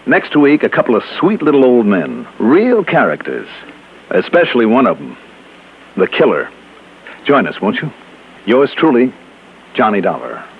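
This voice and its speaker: A man playing a brisk, wry, self-assured insurance investigator in 1960s radio drama, with a clipped delivery. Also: medium pitch, wildly swooping pitch, creaky. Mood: breezy. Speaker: lead actor in a radio detective series. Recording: muffled, noisy old radio recording.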